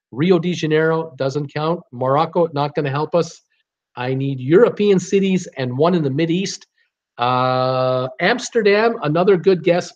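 Man, sixties, fairly high-pitched voice, livestream host, chatty quiz style, asks and answers himself, slightly muffled webcam audio. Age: 60s. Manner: asks and answers himself in short clipped phrases. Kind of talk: casual live quiz chat, reading out viewers' guesses. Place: small home office, indoors.

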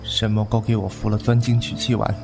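A man's voice: on the low side, level tone, a little fast, lightly acted. A man playing a proud, wounded martial-arts sect fighter, terse, in a wuxia audio drama. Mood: grim, strained. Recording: music under the voice.

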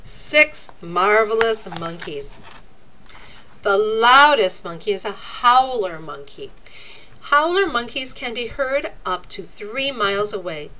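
A woman reading a children's nonfiction book aloud, with deliberate, expressive, teacherly phrasing. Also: medium pitch, slight background noise, low-quality recording. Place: indoors, book laid open before a camera.

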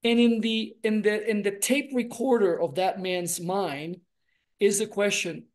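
A man's voice: sits high, a little slow. A man in his forties from Puerto Rico, speaking earnestly on a video call as an interview guest and telling his story with many gestures and restarts. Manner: earnest, expressive, gestures a lot, restarts phrases. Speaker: guest, relationship coach and doctor. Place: home room with framed pictures, indoors.

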